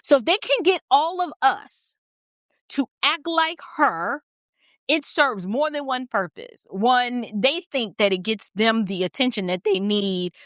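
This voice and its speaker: High-pitched, blunt American woman commentator. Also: animated, a little slow, fairly bright tone, no room sound, clean studio sound. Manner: blunt, emphatic, repeating phrases for stress. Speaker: political commentator and host. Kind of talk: opinionated off-the-cuff commentary.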